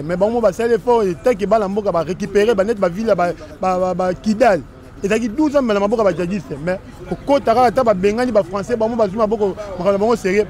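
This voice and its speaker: Congolese guy giving his take on the street, pretty high voice. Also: darkish tone. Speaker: street interviewee. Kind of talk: animated opinion in street interview. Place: outdoors, busy street by a shopfront.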